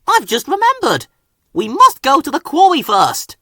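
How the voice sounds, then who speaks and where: A man's voice: very high-pitched, huge pitch swings, normal speed, bright, forceful tone, dry recording, clean studio sound, pitched above the performer's own voice, exaggerated voice. A man voicing an eager, anxious young male steam engine in a children's storybook, with urgent exclamations. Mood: alarmed, urgent.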